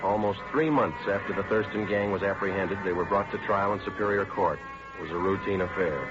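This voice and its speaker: Actor playing terse male police detective, low voice, clipped deadpan delivery, old radio crime drama, dull vintage recording, music. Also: close to an everyday voice. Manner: clipped, deadpan, matter-of-fact. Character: terse, businesslike male police detective narrating his cases. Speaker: narrator and lead actor. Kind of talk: 1950s radio crime drama narration and acting.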